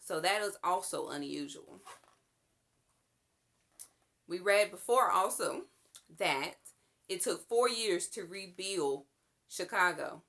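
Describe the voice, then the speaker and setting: A woman's voice: lowish voice, lively intonation, slow pace, long pauses, fairly bright tone. A woman reading a children's storybook aloud as a storytime reader and explaining what is in it.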